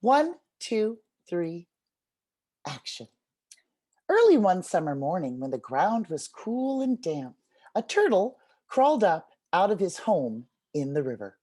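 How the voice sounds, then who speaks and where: A woman leading an interactive read-aloud as a teacher and storyteller, encouraging and expressive, speaking over a video call. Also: on the low side, slow, husky. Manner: encouraging, expressive, addresses listeners like young children. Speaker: literacy workshop teacher, storyteller.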